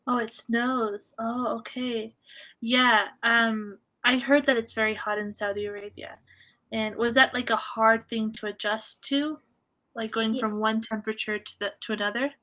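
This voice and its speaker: Tutor, woman, from Canada, higher pitch with a bright tone, warm encouraging manner, video call. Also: steady tone, measured pace. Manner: friendly, encouraging, gently corrects. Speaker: English tutor. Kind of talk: online conversation lesson.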